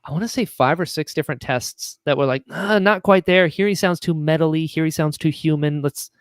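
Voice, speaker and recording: A man's voice: on the high side, fairly quick, clear, resonant tone, no echo, studio quality. A man chatting casually and enthusiastically as a podcast guest, telling production stories and acting out quoted remarks. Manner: enthusiastic, acts out quotes mid-story. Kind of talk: casual animated interview chat.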